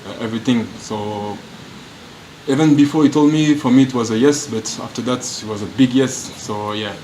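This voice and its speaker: Halting low-voiced man, noisy room. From France. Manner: halting non-native English, frequent restarts. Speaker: professional footballer. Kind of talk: press interview answers. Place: media room.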